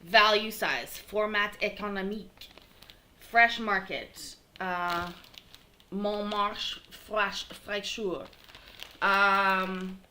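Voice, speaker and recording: A woman's voice: medium pitch, drawn-out words, sharp tone. A middle-aged woman hosting a casual cooking livestream from her home kitchen, chatting and rambling with lots of fillers as she talks to viewers by name. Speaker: livestream cooking show host. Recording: echoey kitchen, faint noise.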